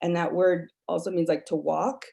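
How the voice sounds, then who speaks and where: A woman's voice: on the low side, fairly even intonation, normal speed, vocal fry, warm tone. An American woman from Utah speaking reflectively as a writer on a panel. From Utah, United States.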